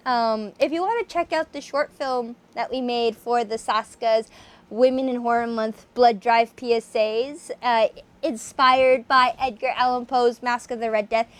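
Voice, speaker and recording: A woman with a theatrical, expressive way of speaking, presenting horror stories as an amateur reader and host. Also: sits high, a little hiss or hum. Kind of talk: dramatic reading, then enthusiastic casual chat.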